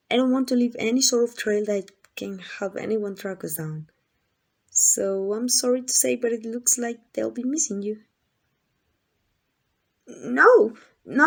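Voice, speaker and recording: A woman's voice: fairly high pitch, vocal fry, darkish tone. A woman playing a lovesick, possessive female kidnapper in an intimate ASMR roleplay, doting and rambling in a flustered, coaxing way. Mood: flustered, reassuring. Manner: coaxing, rambling, hesitant asides.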